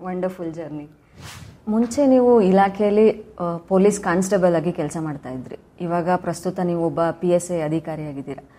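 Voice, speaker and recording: A woman hosts a podcast interview, speaking. Her voice sits a little low, with a very soft, dark, mellow tone. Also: normal speed. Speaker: interviewer, police sub-inspector probationer.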